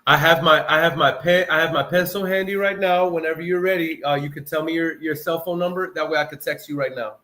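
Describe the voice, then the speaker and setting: A man's voice: fairly high pitch, a little fast, hardly any pauses, bright, forceful tone. Hyped man calling a home seller. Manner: upbeat, hyped, says "bro" often. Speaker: house buyer, livestream host. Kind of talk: live sales call and casual chat.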